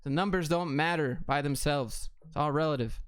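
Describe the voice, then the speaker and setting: A man's voice: fairly high pitch, moderate pace, smooth, dry recording. Blunt American man, clean dry sound. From Atlanta, Georgia, United States. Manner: blunt, clipped, offhand answers. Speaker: livestream host. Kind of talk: casual livestream chat answering viewer questions.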